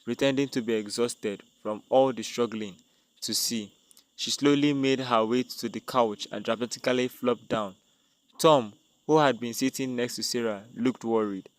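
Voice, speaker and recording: A man's voice: mid-range voice, moderate pace, rough recording. A man narrating a dramatic scripted tale as a storyteller.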